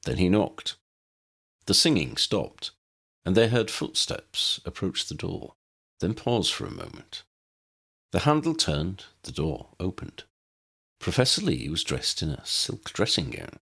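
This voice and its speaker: Male audiobook narrator, low and gravelly, hugely varied intonation, scripted fiction. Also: very animated, a little slow, fairly bright tone.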